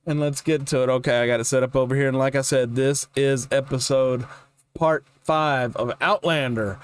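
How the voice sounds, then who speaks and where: A man's voice: mid-range voice, smooth. An older man giving casual, rambling reaction commentary as host, in a folksy, self-deprecating way.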